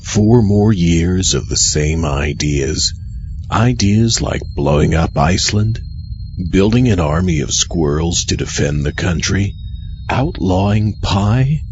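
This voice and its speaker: A man's voice: sits low, lively intonation, slow, darkish tone. A man playing a solemn announcer in a parody political attack ad, scornful and grave. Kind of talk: parody campaign ad narration. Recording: dull lo-fi, faint noise.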